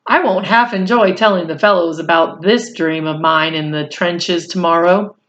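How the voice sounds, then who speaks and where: A woman's voice: fairly low pitch, moderate pace, a bit bright, dull audio, close to an everyday voice. A woman voicing a weary but chipper young British soldier in a storybook, full of bemused wartime slang.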